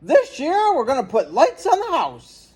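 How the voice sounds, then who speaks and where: A man voicing an excitable, boastful suburban dad in a children's storybook read-aloud. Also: extremely high pitch, a little slow, pitched above the performer's own voice, full character voice. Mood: excited. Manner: boastful, eager, exclaiming. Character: excitable suburban dad obsessed with Christmas decorations.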